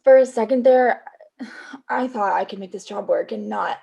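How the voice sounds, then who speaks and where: A woman's voice: medium pitch, moderate pace, gravelly, mellow, slightly rough, muffled recording. A woman playing a wry, guarded young assistant in a table read. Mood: earnest.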